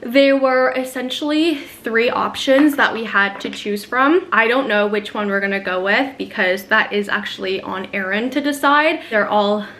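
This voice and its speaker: A young woman chatting casually to camera in a vlog while doing her makeup at home, upbeat and full of soft fillers. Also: fairly high pitch, moderate pace, hardly any pauses. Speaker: lifestyle vlogger. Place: at home in front of a mirror.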